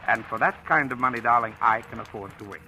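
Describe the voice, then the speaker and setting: An actor playing a smooth, menacing blackmailer who is mockingly polite and taunting, in a 1940s radio drama style. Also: male voice, medium pitch, sharp tone, slight background noise, low-quality recording. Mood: coldly calculating. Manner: suave, taunting, deliberate drawn-out politeness. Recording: old radio drama recording, music underneath.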